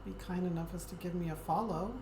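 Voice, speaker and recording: A woman hosting a livestream, talking casually to her viewers in a soft, friendly way and often pausing on an um. Her voice sits low. It echoes around the room over a steady background noise. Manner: soft, friendly, hesitant with many ums. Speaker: Twitch streamer.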